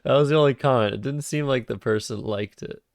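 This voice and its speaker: A man streaming a word game who thinks aloud about his moves in a calm, soothing, soft-spoken way, recorded on a cheap microphone. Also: medium pitch, a little fast, no room sound, clean studio sound. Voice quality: vocal fry. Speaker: Scrabble streamer. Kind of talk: live-streamed game commentary.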